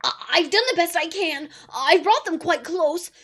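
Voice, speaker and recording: A woman's voice: very high-pitched, normal speed, a bit bright, pitched above the performer's own voice. A woman voicing a frightened young boy who stammers and trembles as he speaks. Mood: pleading.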